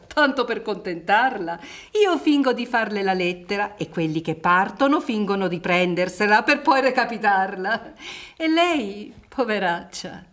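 An actress in a radio drama playing a brisk, cynical village woman, theatrical, with drawn-out words and scornful laughs. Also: a little high.

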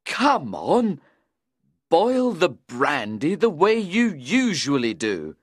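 A man voicing a rude, demanding young drunk in an audiobook, with slurred, drawn-out shouting. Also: fairly high pitch, wildly swooping pitch, breathy edge, dry recording, studio quality, voice pushed up, heavily acted. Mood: drunk, insistent. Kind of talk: audiobook character voice.

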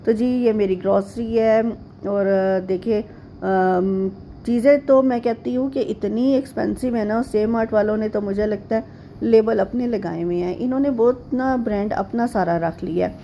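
A middle-aged woman from Pakistan narrating a home vlog in a rambling, chatty way, with frequent pauses and fillers. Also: mid-range voice, steady tone, dark tone, a little hiss or hum. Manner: homely, chatty tone. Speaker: vlogger. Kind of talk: casual chatty voice-over narration.

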